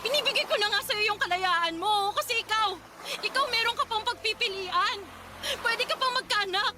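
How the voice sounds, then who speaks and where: An actress playing a grieving, stubborn wife in a Filipino radio drama, emotional and pleading. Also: female voice, extremely high pitch, normal speed, background noise. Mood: pleading, exasperated. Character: grieving wife who cannot bear children, stubborn, self-sacrificing.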